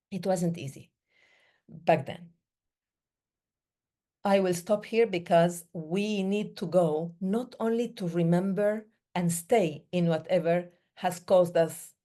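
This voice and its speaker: A woman born in the Middle East, giving a reflective talk on breathing in slow, measured phrases with pauses between them. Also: fairly low pitch, warm tone. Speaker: breathing day speaker.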